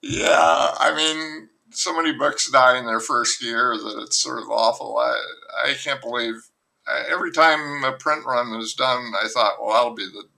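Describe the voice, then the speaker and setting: A man's voice: mid-range voice, normal speed, gravelly, bright, forceful tone, low-quality recording. An older man, an author being interviewed remotely, telling long rambling stories with frequent restarts. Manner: rambling, self-interrupting storyteller. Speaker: author and guest. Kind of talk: casual interview chat.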